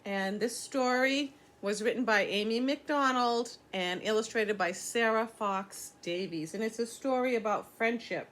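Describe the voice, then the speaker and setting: A woman's voice: a little high, a little slow, few pauses, a bit bright. An older woman reading a children's story aloud, explaining things simply as a teacher would to young listeners.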